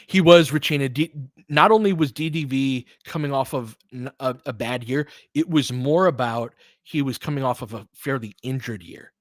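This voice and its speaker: Male play-by-play host for basketball. Conversational, thinks out loud, breaks down the action, recorded dry. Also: mid-range voice, moderate pace, no echo. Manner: conversational, thinking aloud, analytical. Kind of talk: live basketball commentary with casual asides.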